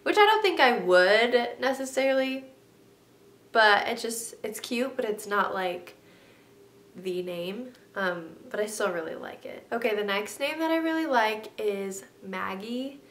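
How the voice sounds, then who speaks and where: A woman's voice: lively intonation, a little slow, a bit bright. Congested young woman chatting in echoey room. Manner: chatty, many ums and likes. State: stuffy, congested nose. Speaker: vlogger. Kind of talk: casual sit-down vlog chat. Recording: echoey room, faint rumble.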